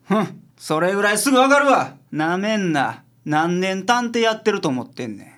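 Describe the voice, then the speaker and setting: Detective boss for radio drama, male actor: rough-spoken Kansai man, gruff, sharp-witted, animated intonation. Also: sits high, normal speed. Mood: gruff, scolding.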